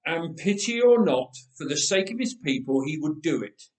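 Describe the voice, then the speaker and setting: A man reading a story aloud in a dramatic, expressive, measured way with deliberate pauses. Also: on the high side, distant mic. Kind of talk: dramatic scripted story narration. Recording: roomy, echoing indoor sound.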